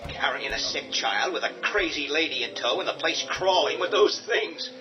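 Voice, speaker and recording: Man voicing a whiny coward, defensive, jumpy pitch, muffled and distant, music underneath. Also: expressive, moderate pace, lightly acted. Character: cowardly, stubborn, argumentative family man. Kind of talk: radio drama acting.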